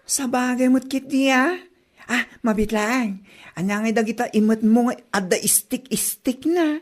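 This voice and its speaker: A woman's voice: fairly high pitch, moderate pace, lightly acted. A woman with an Ilocano accent playing a gossipy, teasing neighbourhood woman in a comedy drama.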